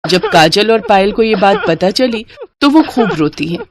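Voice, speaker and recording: A woman's voice: mid-range voice, expressive, normal speed. A woman narrating a scripted children's moral story as the storyteller.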